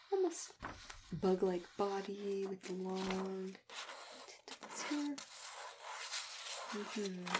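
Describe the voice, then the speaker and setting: A woman doing a soft-spoken, often whispered ASMR roleplay as a kindly, gently chatty matchmaker who reassures her client. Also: mid-range voice. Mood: fond. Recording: clearly noisy, keyboard typing and clicks.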